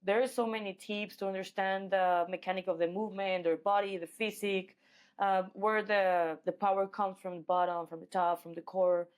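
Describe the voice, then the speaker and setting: A woman's voice: mid-range voice, steady tone, normal speed, clean tone. A woman from Mexico with a Mexican accent, talking casually as an athlete being interviewed. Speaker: guest, professional MMA fighter.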